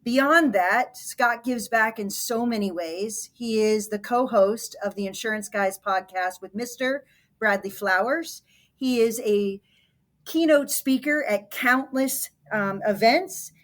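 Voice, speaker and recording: Brief Woman podcast host, slightly high voice, reading a formal guest introduction at a quick clip. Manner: brisk, formal delivery.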